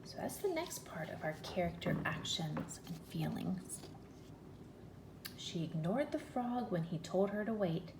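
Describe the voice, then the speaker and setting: Woman, first-grade teacher, story read-aloud, deliberate, very animated intonation, roomy echo with faint noise. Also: medium pitch. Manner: expressive, deliberate, questioning. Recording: echoey room with faint noise.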